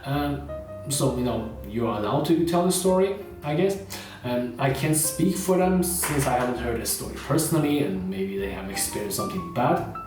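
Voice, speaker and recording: A man's voice: medium pitch, animated, moderate pace. A man from China, talking casually to camera, full of fillers like "you know" and "uh". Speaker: vlogger. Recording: roomy echo, heard from a distance, with faint guitar music.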